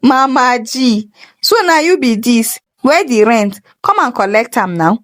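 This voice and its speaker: A woman's voice: on the high side, expressive, measured pace. A woman with a Nigerian accent voicing a mocking, teasing male tenant in a folktale. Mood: gleeful mocking. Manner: teasing Pidgin taunts. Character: mocking male tenant taunting his former landlady.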